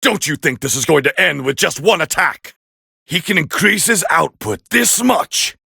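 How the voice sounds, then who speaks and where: Gruff haughty anime alien fighter, raspy n tense, highish for a man, calling fights in a game. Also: expressive, moderate pace, no room sound, studio quality, heavily acted. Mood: scornful. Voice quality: gravelly, raspy. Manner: haughty, forceful, clipped exclamations. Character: proud, gruff alien warrior man, scornful and competitive. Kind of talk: English-dubbed anime fighting game voice lines.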